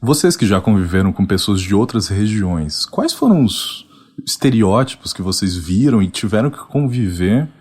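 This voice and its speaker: A man's voice: on the low side, big pitch swings, moderate pace, a little mellow, slightly rough. A man co-hosting a podcast, framing the topic and asking the guests questions. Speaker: podcast co-host.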